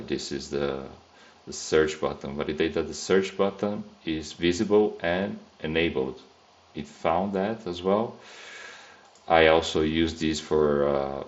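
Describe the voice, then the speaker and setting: Very deep-voiced man with a Brazilian accent. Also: dull audio. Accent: Brazilian Portuguese. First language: Brazilian Portuguese. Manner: casual, thinking aloud, frequent fillers and restarts. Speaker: software test engineer. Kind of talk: screen-recorded coding tutorial.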